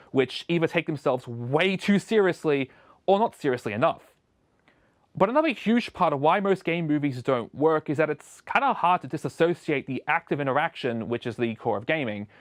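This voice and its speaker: A man's voice: a little high, animated, fairly quick, no echo. A man presenting a scripted opinion video essay as a show host, recorded as a close voice-over.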